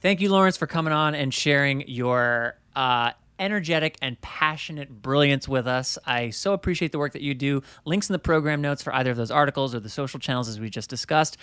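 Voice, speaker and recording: A man's voice: mid-range voice, a little fast, few pauses, sharp tone, clean tone, no echo. A man hosting a podcast, joking lightly and thanking guests as he wraps up the episode. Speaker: podcast host. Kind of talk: casual podcast talk.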